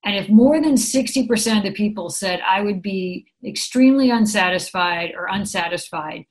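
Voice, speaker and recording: Chatty American woman explaining clearly. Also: mid-range voice, moderate pace, low-quality recording. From San Francisco, USA. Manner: chatty, upbeat, explains with examples. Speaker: guest, program director. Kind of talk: conversational interview answers.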